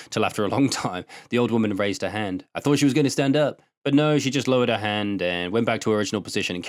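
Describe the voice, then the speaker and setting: A man's voice: on the low side, no echo. Man narrating a story, dry close sound. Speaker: narrator and translator. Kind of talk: first-person short story narration.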